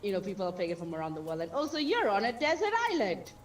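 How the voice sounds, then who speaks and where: A woman's voice: mid-range voice, racing. A woman, hosting a casual livestream chat and laughing often. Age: 30s. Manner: chatty, lively, laughs often. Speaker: stream host.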